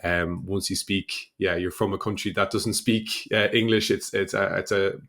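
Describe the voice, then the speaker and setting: An Irish man with a fairly low voice hosts a conversational podcast interview. He speaks casually, in halting phrases that often restart as he goes. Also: steady tone, fairly bright tone. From Ireland.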